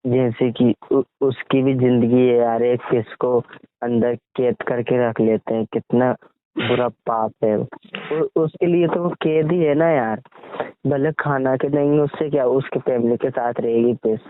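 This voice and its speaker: A man's voice: mid-range voice, fairly even intonation, unhurried, dry recording. Young man on a muffled phone call. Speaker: boyfriend on a call with his girlfriend. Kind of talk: casual intimate phone chat. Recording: muffled, band-limited phone call recording.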